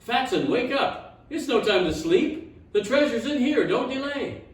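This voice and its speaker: A man's voice: extremely high pitch, lively intonation, unhurried, pitched above the performer's own voice. A man voicing a confident, pompous famous detective in a children's storybook reading. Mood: urgent. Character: famous male detective, confident and grandly declarative. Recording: echoey room, distant mic.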